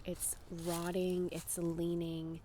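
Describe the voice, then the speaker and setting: A woman, probably in her thirties, gives a casual, chatty ASMR tour in soft whispers and hushed talk outdoors. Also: lowish voice, monotone, slow pace. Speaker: ASMR vlogger. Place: outdoors in a residential backyard. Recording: faint wind and outdoor noise.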